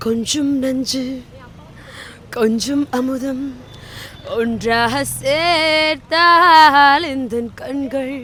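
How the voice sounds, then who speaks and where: A woman's voice: sits high, steady tone, extremely slow, sharp tone, slight background noise. A woman singer performing Tamil film songs as a guest out in a public place. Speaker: singer, guest on a prank call show. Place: outdoors in a public street.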